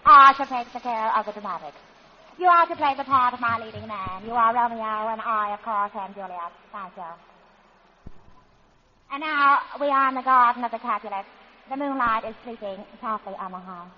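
A high-voiced, pompous Brooklyn would-be tragedienne played by a woman, declaiming with grand theatrical airs that keep slipping into brash slang. It sounds like a muffled old radio comedy broadcast. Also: normal speed, close to an everyday voice. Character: pretentious would-be grand actress playing Juliet, brash underneath. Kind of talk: 1940s radio comedy acting.

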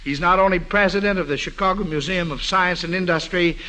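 Bright, forceful American radio quiz host. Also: male voice, high voice, steady tone, a little fast. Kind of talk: 1940s radio quiz hosting.

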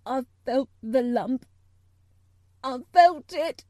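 A woman's voice: sits high, mellow, dry recording, studio quality. A woman voicing a hysterical, frightened young boy from a storybook, crying and choking out the words in gasps. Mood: choked despair. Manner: gasping, choked, halting delivery.

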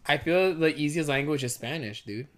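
A man's voice: on the high side, normal speed, slight background noise. A man hosting a casual podcast, teasing his co-host with profane banter.

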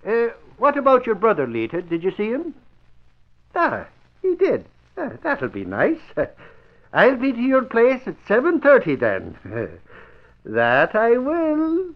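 High-pitched blustering reporter. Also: male voice, wildly swooping pitch, slow pace, full character voice. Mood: giddy. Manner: boastful, blustering, stammering. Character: vain, bumbling, girl-struck Irish newspaper reporter. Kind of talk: old-time radio comedy acting. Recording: muffled old radio recording.

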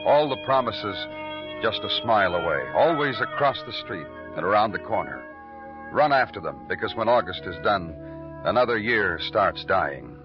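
A muffled vintage radio recording with clear music carries a world-weary police detective. He speaks low, terse and brooding, with the moody delivery of 1950s radio noir. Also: big pitch swings, moderate pace. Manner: clipped with suspects, brooding and poetic when narrating. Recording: dull lo-fi old radio recording.

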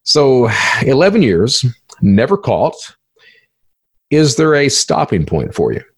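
Gravelly, animated man hosting a podcast. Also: medium pitch, slow, no echo. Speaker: podcast host and interviewer. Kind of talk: casual conversational interview.

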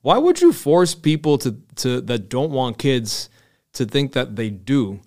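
A man's voice: medium pitch, sing-song, a little slow, a little mellow. A man in his early thirties with an American accent, chatting casually and candidly as a podcast host, swearing freely. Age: early 30s. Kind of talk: casual conversational chat.

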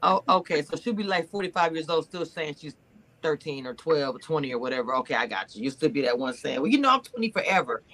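A woman's voice: sits low, lively intonation, fast talker, fairly bright tone. A woman in her forties bantering casually in a group chat, teasing and playfully challenging. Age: 40s. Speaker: panelist on a TV recap livestream.

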